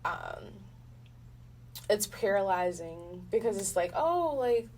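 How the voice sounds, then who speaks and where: A woman's voice: mid-range voice, very animated, slow pace, a little mellow. A woman in a casual, reflective interview, speaking in a slangy, meandering way as the guest. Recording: distant mic, faint background noise.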